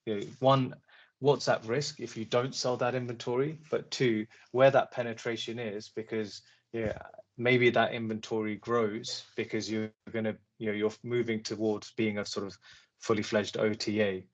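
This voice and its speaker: A man with a fairly low voice asks questions on an investor call. He is polite and tentative, often stopping to reword what he means. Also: flat delivery, moderate pace. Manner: hesitant, polite, rephrases often.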